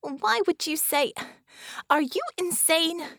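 A woman doing a breathless, excited young heroine. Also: no room sound, clean studio sound. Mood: dismayed. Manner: breathless, quick, high-pitched. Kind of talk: audiobook narration.

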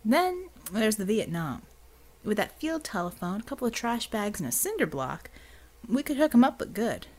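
Woman acting a gruff, blunt American tough guy. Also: mid-range voice, big pitch swings, moderate pace, a little mellow. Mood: coolly menacing. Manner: colloquial, blunt, coolly menacing when persuading. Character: gruff, drawling American man, tough principled vigilante.

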